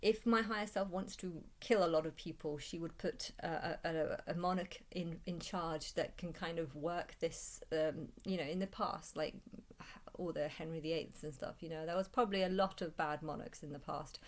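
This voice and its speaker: Low-voiced woman, halting and rambling. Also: steady tone. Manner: halting, repeats and restarts phrases often. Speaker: vlogger. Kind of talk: rambling personal monologue.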